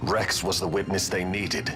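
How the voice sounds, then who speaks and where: A deep-voiced, disgraced captain from a fantasy game. He is solemn and resolute, with a grave, formal way of speaking and faint music behind. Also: steady tone, measured pace. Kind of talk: video game drama acting.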